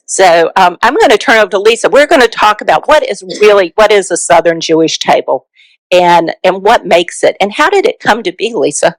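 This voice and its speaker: A woman's voice: mid-range voice, animated, fairly quick, dry recording, poor audio. A middle-aged woman from South Carolina, an author giving a chatty book talk with frequent fillers.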